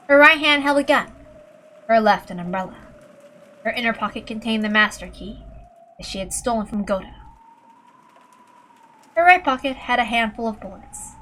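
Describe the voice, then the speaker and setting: A woman's voice: a little high, a bit bright, slight background noise. A woman reading scripted fiction aloud as narrator, in a dramatic storytelling style. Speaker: amateur reader and narrator of a visual novel.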